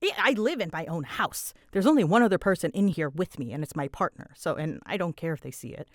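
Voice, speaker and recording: A woman's voice: on the low side, lively intonation, dry recording, clean studio sound. A woman creator answering audience questions in a casual, rambling chat, candid and often stopping to rephrase herself. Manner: halting, self-interrupting, candid.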